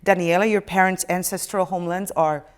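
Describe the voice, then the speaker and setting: A woman's voice: fairly low pitch, fairly even intonation, a little fast, smooth. A woman hosting a studio panel talk show, asking probing questions with a wry edge.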